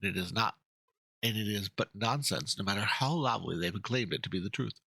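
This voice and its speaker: A man's voice: fairly low pitch, moderate pace, vocal fry, sharp tone, slightly rough, heavily acted. A man voicing a passionate, pompous painter who speaks in florid, theatrical phrases, in a dramatic reading of game dialogue. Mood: indignant, impassioned. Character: passionate, fussy male painter, florid and easily indignant.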